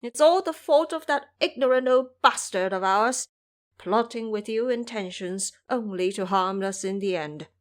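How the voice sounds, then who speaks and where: Brief: a woman voicing tearful terrified male monks, crying and loud, audio drama. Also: medium pitch, a little slow, lightly acted. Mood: distraught. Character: frightened weeping male temple monks.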